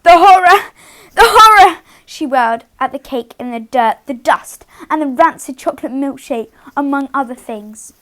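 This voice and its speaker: A woman hosting storytime, speaking to young children in an expressive read-aloud style with dramatic emphasis on key words. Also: sits high, normal speed, hardly any pauses. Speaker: children's storytime host and reader.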